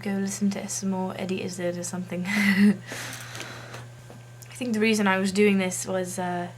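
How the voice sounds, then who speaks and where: A woman chatting casually to camera as a video blogger, halting as she tries out a put-on accent. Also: mid-range voice, little pitch movement, slight background noise.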